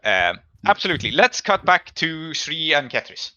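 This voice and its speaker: A man's voice: fairly high pitch, sing-song, normal speed, bright, forceful tone, lo-fi sound. A man acting as game master in a tabletop roleplaying game, explaining rulings and narrating in a conversational way.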